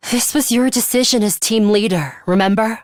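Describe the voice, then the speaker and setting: A woman voicing a cold, aloof young woman fighter in a video game, with a composed, formal, deadpan and disdainful manner and a bloodthirsty streak. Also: a little high, normal speed, dry recording, studio quality, natural voice. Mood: resolute. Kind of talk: English video game voice acting.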